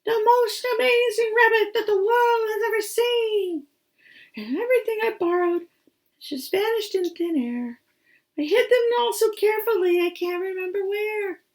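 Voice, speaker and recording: A woman's voice: very high-pitched, moderate pace, voice pushed up. A woman voicing a small, ashamed magician's rabbit in a storybook, with a muffled, plaintive, sniffly voice. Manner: plaintive, whispery confessing.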